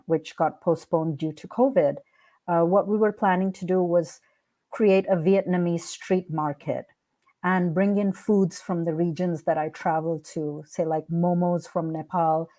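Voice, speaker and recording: Mellow, low-voiced woman in an interview. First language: Hindi. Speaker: podcast guest, art curator and founder. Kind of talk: conversational interview answers.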